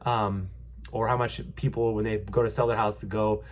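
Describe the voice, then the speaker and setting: A man's voice: fairly low pitch, little pitch movement, normal speed. A young man with a stuffy nose from a cold, giving a casual market update as a realtor, rambling with lots of ums and restarts. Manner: rambling, many ums and restarts, upbeat reassuring. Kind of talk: casual vlog market commentary.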